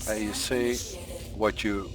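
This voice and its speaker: A man from the Netherlands with a Dutch accent, calmly explaining step by step while playing a game for a tutorial. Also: fairly low pitch, slow. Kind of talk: gameplay tutorial commentary. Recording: voice over game sound and music.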